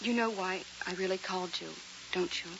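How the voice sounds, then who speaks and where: Woman acting anxious wife, eager, expressive, vintage radio drama, dull sound, clear hiss. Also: fairly low pitch, moderate pace, natural voice. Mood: anxious, confiding. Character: anxious, affectionate young wife in a haunted house. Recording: muffled hissy vintage radio recording.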